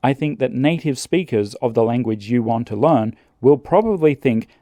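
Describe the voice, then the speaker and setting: A man calmly narrating scripted language-learning advice in a measured, earnest way. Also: mid-range voice, no room sound.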